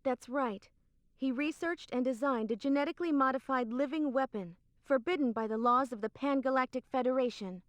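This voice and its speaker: A woman's voice: sits high, a little fast, lightly acted. An actress playing a composed, secretive young woman in a video game cutscene, with a cool, matter-of-fact delivery. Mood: grave. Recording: roomy, faint game music behind.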